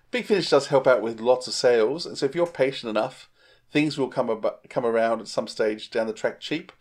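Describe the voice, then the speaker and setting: A man's voice: mid-range voice, darkish tone. A middle-aged man with an Australian accent, chatting casually as a podcast co-host on a video call. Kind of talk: casual chat.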